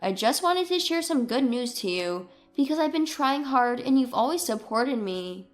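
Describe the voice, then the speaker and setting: A woman's voice: sits high, expressive, moderate pace, soft background music. A woman playing an earnest, sensitive wife in dramatised story dialogue. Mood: hurt, protesting.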